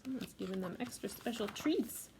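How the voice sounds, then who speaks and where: Higher-voiced woman reading a children's storybook. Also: lively intonation, moderate pace, a little mellow, a little hiss or hum. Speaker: library storytime reader. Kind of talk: children's picture-book read-aloud.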